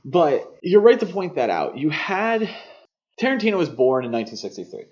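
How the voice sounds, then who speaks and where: A man's voice: a little high, very animated, normal speed, a little mellow. Dull-sounding man co-hosting a podcast. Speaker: podcast co-host. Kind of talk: casual film discussion. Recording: dull, muffled lo-fi podcast audio.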